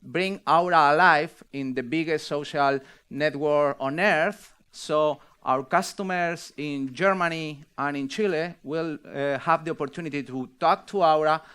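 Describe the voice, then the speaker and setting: A man presenting a product launch on stage, halting and pausing with fillers. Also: on the high side, fairly bright tone, clear, resonant tone. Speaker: executive presenter at a launch event.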